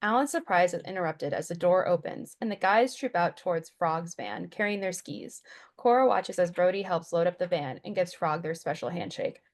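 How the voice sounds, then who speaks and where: A woman's voice: lowish voice, lively intonation, normal speed. A woman reading scripted stage directions as the narrator of a table read.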